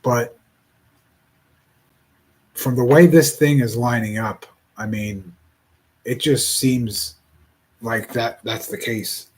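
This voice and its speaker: A man in his forties speaking in a casual reflective monologue, rambling and halting with many restarts. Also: medium pitch, a little mellow. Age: 40s.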